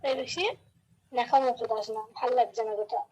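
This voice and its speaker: A woman's voice: on the high side, steady tone, fast talker, darkish tone. A young woman speaking curtly and reproachfully in a casual conversation over a noisy phone call. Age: young adult. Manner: curt, reproachful.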